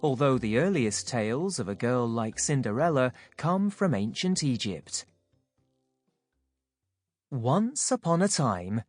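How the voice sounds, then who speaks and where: A woman narrating a children's audiobook from a script, in a measured, expressive, clearly phrased way. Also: rumbling low, no room sound, studio quality.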